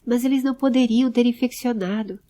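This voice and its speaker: A woman voicing a serious, pensive male adventurer who keeps calm and gives instructions in an audio drama. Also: on the high side, a little fast, natural voice. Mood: worried, pensive. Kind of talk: audio drama character acting.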